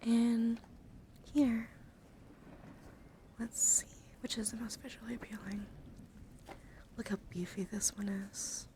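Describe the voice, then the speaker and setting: A woman streaming ASMR in a soft, breathy whisper, speaking unhurriedly and intimately close to the microphone. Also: fairly high pitch, steady tone, slow, long pauses. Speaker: livestream host. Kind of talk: whispered ASMR livestream talk. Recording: close whisper with clear background noise.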